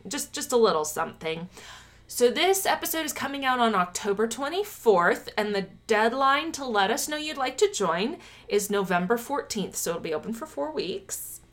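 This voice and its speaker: A chatty woman co-hosting a podcast, talking in a fairly high voice. Her pitch swings freely, and she laughs often as she rambles through announcements and banter. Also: animated, normal speed. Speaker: podcast co-host. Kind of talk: casual podcast chat.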